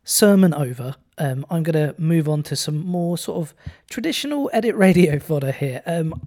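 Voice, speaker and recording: A radio DJ with a cold and a husky voice, chatting casually between songs and using a lot of "um" and "like". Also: medium pitch, animated, moderate pace, dark tone. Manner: chatty, with frequent "um" and "like" fillers. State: has a cold, sounds husky. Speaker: radio DJ presenting music.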